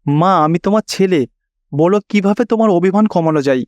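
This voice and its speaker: A man's voice: high voice, brisk, darkish tone, no echo, muffled recording, close to an everyday voice. A man voicing a worried, dutiful young married son who pleads earnestly in a cartoon. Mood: pleading.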